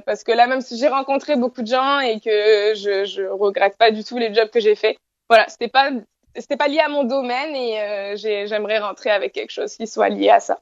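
A woman's voice: medium pitch, dull audio. A young woman from France, chatting casually as an interview guest from her apartment, with many fillers and restarts.

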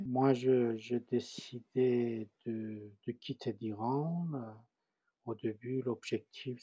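A man with a Persian accent speaks slowly and haltingly, stopping to hunt for the right French words. His voice is husky and mellow. The recording sounds slightly dull and dry. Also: medium pitch, no room sound. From Iran. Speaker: interviewee. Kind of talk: personal testimony.

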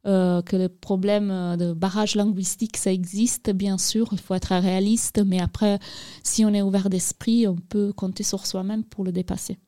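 A woman talks on a radio interview with a warm, mellow tone. Her delivery is hesitant and full of fillers and restarts. The studio sound is dry and close. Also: mid-range voice, little pitch movement, normal speed, dry recording. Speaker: radio guest, youth program presenter.

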